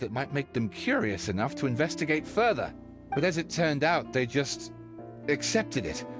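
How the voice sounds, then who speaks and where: An actor playing an earnest, brooding storybook detective in a video game, speaking in a theatrical, confessional way. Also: male voice, medium pitch, close to an everyday voice. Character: earnest, brooding male storybook detective questioning whether he is real.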